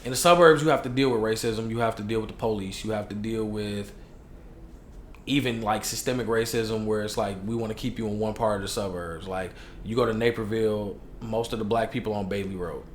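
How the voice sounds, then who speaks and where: A young man with a Chicago accent talks in a low, easygoing voice, dropping slang as he tells candid stories from his life. He sounds like a podcast guest, recorded in an echoey room with a faint hiss behind him. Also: brisk. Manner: relaxed, slangy, candid storytelling. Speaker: hip hop artist guest. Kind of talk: casual podcast interview.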